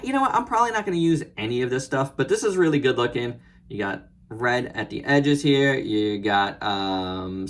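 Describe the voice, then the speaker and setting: A man hosting a casual, unscripted toy review, thinking aloud in short, halting phrases. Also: mid-range voice. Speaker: toy reviewer and channel host. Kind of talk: casual, unscripted hands-on product review commentary.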